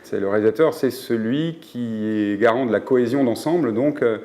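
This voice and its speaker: A man in an interview describing a director's role, pausing often with fillers. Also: medium pitch, darkish tone. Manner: hesitant, many fillers. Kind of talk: explanatory interview.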